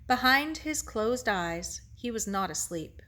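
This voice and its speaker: A woman narrates a children's novel. Her voice is on the higher side, and she reads at an unhurried, slow pace, as scripted storytelling. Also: lively intonation, sharp tone. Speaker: reader.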